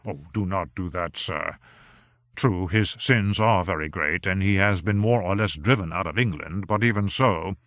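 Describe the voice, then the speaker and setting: A soft-spoken, anxious manservant in an audiobook, played by a man. He speaks low and quietly, choosing his words with careful, subdued politeness. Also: moderate pace, dry recording. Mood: cautious.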